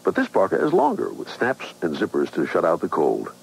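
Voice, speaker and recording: A man's voice: low-pitched, wildly swooping pitch, a little fast, gravelly, breathy edge. A man reading a scripted catalog advertisement for radio as its announcer. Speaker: commercial announcer.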